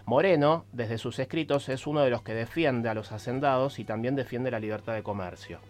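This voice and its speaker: A man with an Argentine Rioplatense accent explaining history on the radio, didactic, with halting pauses and emphatic stresses. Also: mid-range voice.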